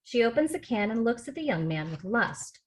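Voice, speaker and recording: A woman's voice: fairly high pitch, sing-song, normal speed. A woman reading scripted screenplay narration as the stage-direction reader on a video call.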